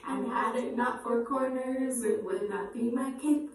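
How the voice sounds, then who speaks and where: A woman's voice: a little high, fairly even intonation. Echoey, distant woman leading children's singalongs. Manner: slow, simple, repetitive delivery for young children. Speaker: children's storytime singer and presenter. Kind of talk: upbeat children's singalong with short spoken introductions. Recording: echoey room, distant mic, faint noise.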